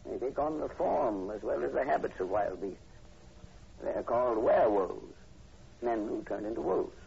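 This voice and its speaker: An actor playing an earnest university professor, measured and polite with hesitant pauses, in 1940s radio horror style. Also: male voice, mid-range voice, lively intonation, creaky, warm tone. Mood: grave, scholarly. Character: earnest, courteous university professor investigating werewolves. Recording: dull, noisy old radio broadcast recording.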